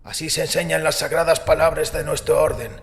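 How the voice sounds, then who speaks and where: Devout old male priest, played by a man, higher gravelly voice, resolute, long church-like reverb. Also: level tone. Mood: solemn prayer. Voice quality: creaky, gravelly. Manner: solemn, deliberate, resolute. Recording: long echoing hall reverb, music underneath.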